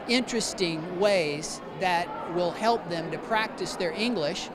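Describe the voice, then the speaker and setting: An ESL teacher talks in a thoughtful, measured way at a middle pitch. Steady outdoor noise, including traffic, runs underneath. The delivery stays calm and even. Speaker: ESL teacher and event organizer. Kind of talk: interview.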